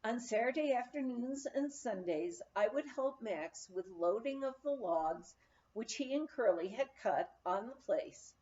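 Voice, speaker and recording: A woman reading a memoir aloud as scripted narration, in a measured storytelling manner with deliberate pauses. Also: on the high side, animated, dark tone, highs cut off, a little hiss or hum. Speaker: audiobook reader.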